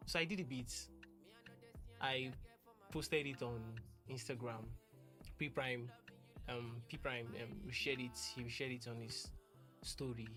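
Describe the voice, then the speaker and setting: Young man, casual earnest vlog talk, rambles with restarts, middle pitch, clear music bed. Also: steady tone, takes it slowly, long pauses, pushed and bright. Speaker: music producer.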